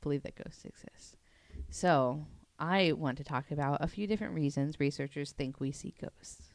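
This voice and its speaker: Casting: low-pitched woman, gravelly and smooth, chatty podcast panelist, dry mic. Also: normal speed, dry recording. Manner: chatty, self-deprecating, many fillers. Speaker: podcast panelist presenting a topic. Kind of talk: casual podcast chat.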